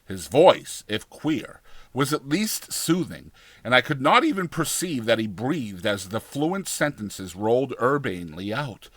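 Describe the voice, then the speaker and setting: A man reading a gothic horror story as a dramatized audiobook, with deliberate, theatrical delivery and formal, dramatic pauses. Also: mid-range voice, sing-song, dry recording. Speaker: audiobook narrator.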